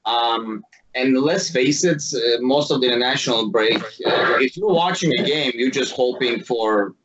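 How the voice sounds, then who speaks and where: A man's voice: medium pitch, normal speed, lo-fi sound, a crowd in the background. A man chatting casually and informally in a rambling way, as a panelist on a sports podcast. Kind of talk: casual chat.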